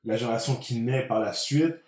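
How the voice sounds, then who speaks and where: A man's voice: medium pitch, fairly quick, darkish tone. A man with a Quebec French accent, chatting casually and dropping English words into his sentences. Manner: mixes English words into speech. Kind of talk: casual conversational chat. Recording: roomy, echoey room.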